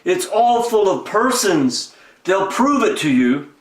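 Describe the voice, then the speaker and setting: A woman's voice: mid-range voice, big pitch swings. A woman voicing a big, kind-hearted male elephant from a storybook, earnest and urging as he protects others. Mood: pleading. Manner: earnest, slow, pleading and urging. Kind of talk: storybook character voice. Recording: roomy echo, distant mic.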